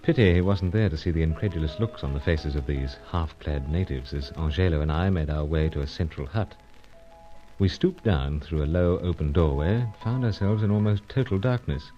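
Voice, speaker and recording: Man playing a deep, clipped gentleman agent. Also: normal speed. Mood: narrating, measured. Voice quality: clipped, drops words from sentences. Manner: breezy, pompous old-chap bravado. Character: unflappable stiff-upper-lip British gentleman secret agent. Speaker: lead character and first-person narrator. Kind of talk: tongue-in-cheek spy adventure audio drama. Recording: dull lo-fi audio, faint music.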